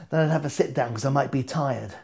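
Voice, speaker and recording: A man's voice: medium pitch, moderate pace, gravelly. A middle-aged man, a poet performing to camera in a playful, theatrical way. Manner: playful, theatrical, rhythmic repetition. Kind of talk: performance poetry reading to camera. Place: plain indoor studio.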